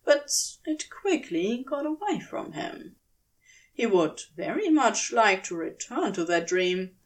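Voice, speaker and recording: Slow, lively female story narrator. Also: medium pitch. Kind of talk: scripted fan fiction narration.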